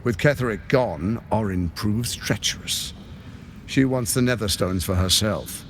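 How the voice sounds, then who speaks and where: An actor playing a suave, scheming tyrant lord in a video game, speaking in a silky, pompous, measured and persuasive way. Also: male voice, on the low side, slight background noise. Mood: warning. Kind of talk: theatrical video game cutscene acting.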